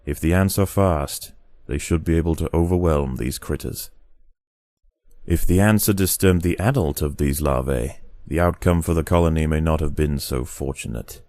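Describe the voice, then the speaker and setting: Very deep, mellow male documentary narrator. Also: dry recording. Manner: measured, grave, dramatic. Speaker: game narrator. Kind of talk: scripted nature documentary narration.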